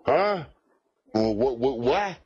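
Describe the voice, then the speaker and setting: A man with a husky voice rambling on a call in a halting, repetitive, confrontational way with odd broken phrasing. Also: medium pitch, big pitch swings, a little mellow, no room sound. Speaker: prank call caller, played through a soundboard. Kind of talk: rambling phone talk. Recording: dull lo-fi soundboard clips.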